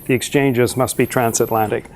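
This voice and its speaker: A middle-aged man, a university professor, giving a slide presentation to an audience in a measured, explanatory way with frequent filler pauses. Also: medium pitch, steady tone. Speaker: university professor presenting a research exchange program.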